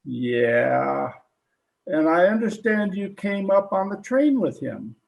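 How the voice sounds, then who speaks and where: A man's voice: sits high, sing-song, slow pace, gravelly, exaggerated voice. A man playing a plain-spoken police detective sergeant in an old-time radio drama, with a hesitant, folksy, polite manner. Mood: polite, probing.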